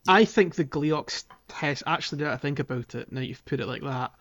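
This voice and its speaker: A man's voice: on the high side, fairly quick, a bit bright. Hesitant man chatting about games. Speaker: podcast co-host. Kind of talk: casual gaming podcast chat.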